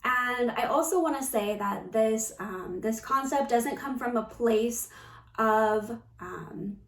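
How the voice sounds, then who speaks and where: Slow-spoken woman in an echoey room. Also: fairly high pitch. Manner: measured, reflective, with pauses. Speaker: spiritual coach. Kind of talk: unscripted spiritual talk to viewers. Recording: roomy echo, distant microphone.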